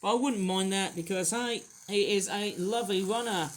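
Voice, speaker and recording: A man's voice: high-pitched, moderate pace, poor audio. A young man casually reviewing a toy train set, rambling and apologetic, with many fillers.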